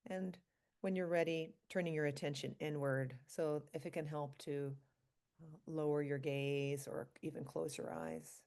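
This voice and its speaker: A woman leading a guided meditation for a group, speaking calmly and slowly in short, spaced phrases. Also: sits low. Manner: calm, slow, pausing between short phrases. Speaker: meditation leader, physician.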